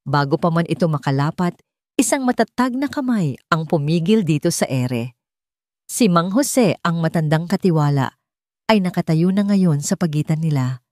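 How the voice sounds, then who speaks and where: A woman narrating a scripted drama story in a measured, dramatic storytelling manner. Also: low-pitched, expressive, a little mellow, dry recording, studio quality.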